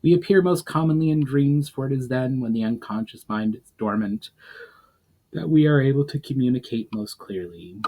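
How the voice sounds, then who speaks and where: A man's voice: mid-range voice, expressive, normal speed, dark tone, pitched above the performer's own voice, poor audio. A man voicing a composed, knowing elf woman in a fantasy story, explaining with poise. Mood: calm, knowing.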